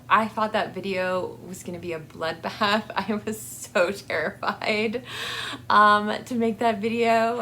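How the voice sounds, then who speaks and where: A woman giving a casual, rambling personal update to her viewers in a halting, effusively grateful way, recorded in a basement. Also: fairly low pitch, fairly bright tone, a little hiss or hum. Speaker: book channel vlogger. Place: basement with air conditioning running.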